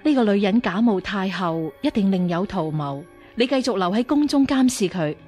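An actress playing a stern, shrewd martial-arts nun of royal birth, measured and commanding, in a Cantonese radio drama. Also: female voice, medium pitch, lively intonation, darkish tone, soft background music, close to an everyday voice. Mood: authoritative. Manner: measured, authoritative.

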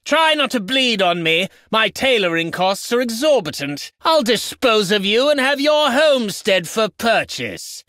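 Pompous, high-pitched medieval nobleman. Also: bright, forceful tone, no room sound, clean studio sound, heavily acted. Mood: contemptuous, insulting. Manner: pompous, sneering, ornate archaic diction. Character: haughty, contemptuous medieval nobleman lording over peasants. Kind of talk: video game voice lines, mock-archaic battle barks.

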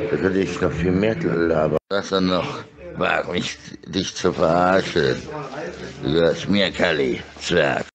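A man's voice: sits low, dull audio. A middle-aged man in a casual, rambling monologue, insistent and disjointed, repeating himself, speaking close into a phone.

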